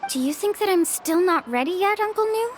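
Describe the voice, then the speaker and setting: A woman's voice: very high voice, lively intonation, brisk, a little hiss or hum. An actress playing an upbeat, friendly young woman guide in a video game, bubbly and casual. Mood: nervous. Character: Mualani, sporty upbeat young female guide, friendly and eager. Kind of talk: video game character acting. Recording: music behind the voice.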